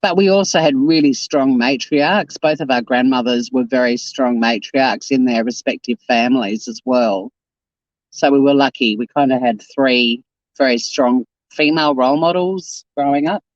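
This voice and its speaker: A man's voice: mid-range voice, normal speed, no echo, lo-fi sound. A man in his fifties chatting casually on a live stream, reading out and answering viewer comments. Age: 50s. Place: home.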